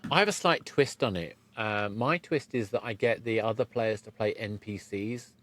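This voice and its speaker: Guy podcast host, lowish voice, thoughtful measured chat explaining stuff. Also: fairly bright tone. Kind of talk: conversational interview, explanatory.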